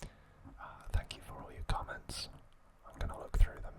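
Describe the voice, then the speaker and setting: A man hosting ASMR, speaking softly and haltingly in an unhurried whisper, close to the microphone. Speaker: ASMR channel host. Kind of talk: whispered ASMR, reading episode summaries and chatting to viewers.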